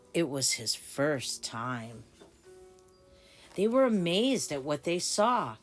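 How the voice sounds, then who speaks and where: A woman reading a children's storybook aloud with deliberate, dramatic phrasing for small children. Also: low voice. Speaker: story time reader. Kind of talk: expressive children's storybook narration.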